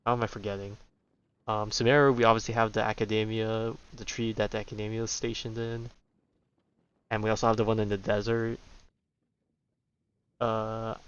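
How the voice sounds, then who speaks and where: A man's voice: fairly low pitch, smooth, lo-fi sound. A man giving casual video game commentary, rambling and thinking aloud as he plays.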